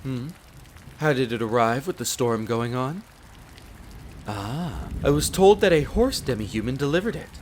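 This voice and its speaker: A man's voice: mid-range voice, slight background noise, natural voice. Man playing a formal, deferential butler, rain behind. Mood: composed, explanatory. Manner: formal, polite, measured, deferential. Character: courteous, devoted demi-human butler in a vampire's castle. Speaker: voice actor in an audio roleplay. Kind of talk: scripted roleplay addressed to the listener. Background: steady rain of a storm.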